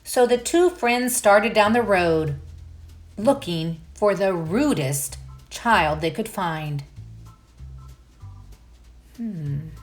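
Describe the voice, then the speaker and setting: A woman's voice: mid-range voice, animated, very slow, long pauses, soft background music. A woman reading a children's storybook aloud expressively, with dramatic delivery and musing asides to the listeners. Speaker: children's book read-aloud narrator.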